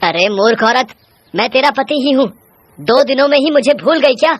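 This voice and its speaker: A woman's voice: high-pitched, lively intonation, moderate pace. A woman voicing an indignant, hot-headed young village husband in a horror story, scolding and challenging.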